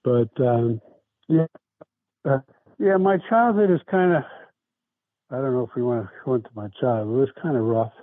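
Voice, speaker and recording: A man, telling his life story in a rambling, halting way. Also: mid-range voice, dry recording. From South Brooklyn, New York. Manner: rambling, halting, many restarts and fillers. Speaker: interview guest. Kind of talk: casual life-story interview. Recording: tinny phone-like dry sound.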